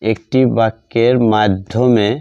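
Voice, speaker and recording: A man gives a grammar lesson at a very slow, deliberate pace, repeating phrases while he writes. His voice is soft-edged and mellow, with little room sound. The recording is somewhat dull and lo-fi. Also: on the low side, steady tone, clean tone, dry recording. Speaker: English grammar teacher. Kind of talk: slow lesson narrated while writing out examples.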